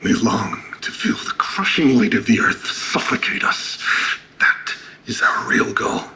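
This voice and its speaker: A man playing a haunted, brooding survivor under questioning, speaking gravely in breathy, near-whispered horror monologue. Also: on the low side, big pitch swings, unhurried, bright, forceful tone, a little hiss or hum, exaggerated voice. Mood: brooding, grim.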